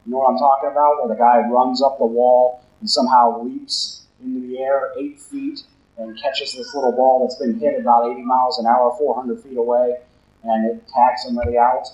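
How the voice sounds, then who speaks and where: Deep-voiced deacon, low-key conversational sermon, roomy and slightly muffled sound. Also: male voice, a little mellow. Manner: low-talking, conversational preaching with questions to the congregation. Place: church. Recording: roomy, slightly dull church recording.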